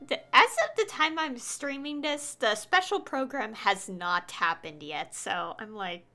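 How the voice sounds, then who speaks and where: Woman game streamer, high bright voice, swooping intonation, rambling casual chat, giggly, faint game music. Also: a little slow. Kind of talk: casual live stream commentary.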